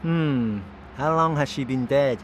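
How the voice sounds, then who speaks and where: A man's voice: medium pitch, a little slow, a little hiss or hum, lightly acted. An actor playing a crude, casual gangster henchman with slightly stilted phrasing in a video game cutscene. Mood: wry, matter-of-fact.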